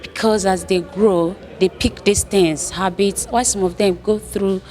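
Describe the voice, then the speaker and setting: A woman with a Nigerian accent giving a deliberate interview answer. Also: medium pitch, steady tone, warm tone. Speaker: playwright and producer interviewee.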